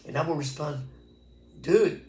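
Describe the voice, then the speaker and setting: A man's voice: on the high side, unhurried, warm tone, roomy sound. A man in his sixties from Texas, telling stories from his past in a reflective, earnest interview. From Texas, USA. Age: 60s. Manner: reflective, earnest storytelling with many restarts. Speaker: interviewee, former pachuco. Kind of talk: casual recorded interview.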